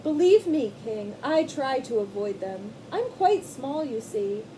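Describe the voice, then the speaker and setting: A woman's voice: on the high side, sing-song, measured pace, background noise. A woman voicing a small, brave male mouse who pleads eagerly. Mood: pleading.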